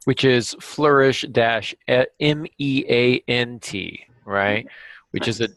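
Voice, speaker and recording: A man with a medium-pitched voice hosts a podcast. His delivery is informal and conversational, with a self-deprecating streak. The sound is close and dry, with hardly any room in it. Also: takes it slowly, a bit bright, no room sound. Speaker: podcast host. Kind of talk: casual podcast hosting.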